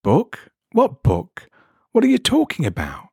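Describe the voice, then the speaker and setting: A man's voice: high voice, huge pitch swings, measured pace, darkish tone, breathy edge, dry recording, studio quality, pitched above the performer's own voice, exaggerated voice. A man voicing an anxious, plaintive man with colloquial speech in a story reading. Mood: baffled, agitated. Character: anxious, complaining man troubled by a rogue arm.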